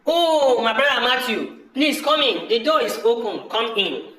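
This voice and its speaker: A man's voice: extremely high pitch, lively intonation, measured pace, sharp tone, reverberant, heavily acted, poor audio. A man in an amateur audio drama playing a brother, speaking in an emphatic and repetitive way. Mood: welcoming. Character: welcoming but disillusioned brother, fed up with farming.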